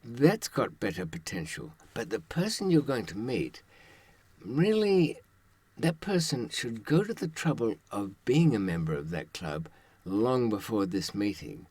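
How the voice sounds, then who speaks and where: A man's voice: lowish voice, sing-song, unhurried, a little mellow, breathy edge, dry recording. An older man giving a conversational advisory monologue to his audience, wry and dryly sardonic, with rhetorical asides. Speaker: true-crime advice presenter and author.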